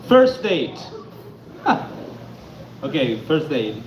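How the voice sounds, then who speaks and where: A man from Argentina doing a stand-up comedy set on a club stage, stammering into deadpan punchlines. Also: on the high side, extremely slow. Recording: echoey club, lo-fi, noisy.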